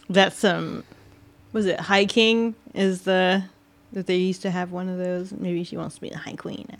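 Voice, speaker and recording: A woman's voice: on the low side, steady tone, a little slow. A woman co-hosting a podcast in casual book-club chat, laughing often and chiming in with jokes. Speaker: podcast co-host.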